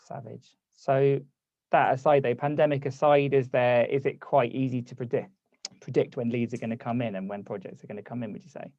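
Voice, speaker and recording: Gravelly guy running a zoom workshop, losing his voice, dark tone, kinda dull dry sound. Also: medium pitch, steady tone, a little fast, no room sound. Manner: casual, conversational, reads chat aloud. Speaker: workshop host. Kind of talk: live online workshop, coaching. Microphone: video call.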